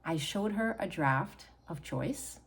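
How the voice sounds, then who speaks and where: A woman's voice: fairly low pitch, expressive, takes it slowly, vocal fry, husky. A middle-aged woman from Hungary with a Hungarian accent, talking earnestly and encouragingly to camera as a channel host. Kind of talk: personal talk to camera.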